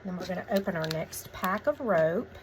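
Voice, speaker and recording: A woman hosting a craft tutorial, giving relaxed, chatty step-by-step instructions in a voice a little croaky from a cold. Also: on the low side, normal speed, noisy background.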